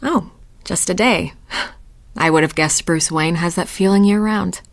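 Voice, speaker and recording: A woman's voice: on the low side, measured pace, creaky. A woman playing a wry, flirtatious society party guest in a video game, teasing and knowing in manner. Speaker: game voice actor. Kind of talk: conversational game dialogue acting.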